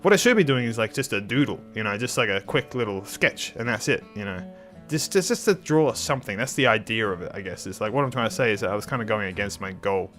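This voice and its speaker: A man's voice: on the low side, animated, fast talker, fairly bright tone, faint music behind. A man hosting his art channel in casual talk over a speed drawing, a bit nasal and under the weather, rambling with many restarts. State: slightly sick.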